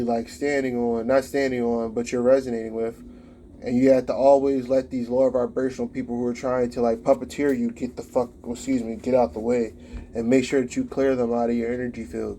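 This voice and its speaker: A man's voice: mid-range voice, mellow, slight background noise. A man in his late twenties giving casual spiritual advice in a rambling monologue, full of "like" fillers. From United States. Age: late 20s.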